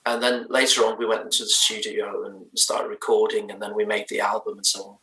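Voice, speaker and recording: A man's voice: fairly low pitch, little pitch movement, a little fast, bright, forceful tone, roomy sound, far from the mic, low-quality recording. A man with a Danish accent, a native Danish speaker, answering interview questions in a thoughtful, hesitant way with many fillers and restarts. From Denmark. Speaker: band's lead singer. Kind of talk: remote interview chat.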